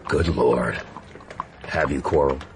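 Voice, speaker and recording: An actor playing an inquisitive American senator visiting England, asking probing questions in a radio drama. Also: male voice, rumbling low, unhurried, noisy background. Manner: curious, probing questions, dry remarks. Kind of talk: BBC radio drama acting.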